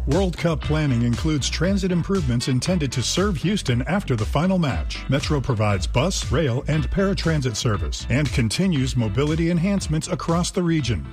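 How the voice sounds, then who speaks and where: A man reads sponsor messages as a radio announcer, briskly and smoothly. His voice sits a little high, with lively intonation. Faint music runs under the read.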